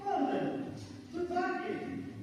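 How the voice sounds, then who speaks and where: An amateur actor playing a boisterous village youngster in a stage play, speaking in a declamatory, stilted and deliberate way, recorded from afar in a big hall. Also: very high-pitched, fairly even intonation, a little mellow. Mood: explaining, boastful. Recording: very echoey hall, distant mic, faint noise.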